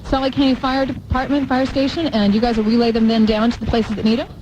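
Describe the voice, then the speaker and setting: Female American breakfast radio host, high voice, noisy outdoor live broadcast. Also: little pitch movement, quick pace, dull audio. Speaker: morning radio show co-host. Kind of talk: live radio chat and interviews. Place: outdoors in the cold at a supermarket event.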